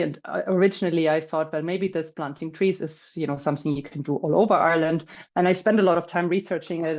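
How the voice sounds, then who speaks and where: Middle-aged German-accented woman, low voice, chatty webinar speaker, muffled call audio. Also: a little mellow. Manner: chatty, many fillers and restarts. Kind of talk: casual presentation. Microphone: video call.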